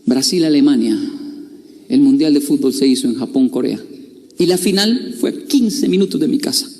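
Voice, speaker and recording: A man's voice: medium pitch, lively intonation, moderate pace, a little mellow. A middle-aged man gives a storytelling talk, playful and confiding, and acts out other people's voices. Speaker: speaker. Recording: long hall reverb.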